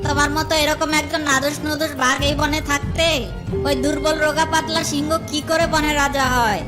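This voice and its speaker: Lady doing a sneaky cartoon jackal, high voice, wheedling and scheming, music behind. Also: a little fast, lightly acted. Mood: wheedling, flattering. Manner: wheedling, flattering, theatrical. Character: sly scheming jackal, flattering and power-hungry. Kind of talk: children's cartoon voice acting.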